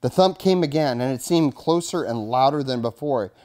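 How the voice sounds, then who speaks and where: A man's voice: medium pitch, animated, moderate pace, a little mellow. A middle-aged man with an American accent, a fire chief reading a children's storybook aloud and talking to kids. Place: indoors in front of a curtain backdrop, studio-like setup.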